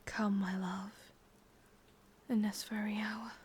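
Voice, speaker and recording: A woman with an English accent playing a sadistic, possessive witch in a hushed, breathy ASMR roleplay, slow and coaxing. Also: medium pitch, level tone, slight background noise, close to an everyday voice. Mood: tender, incantatory.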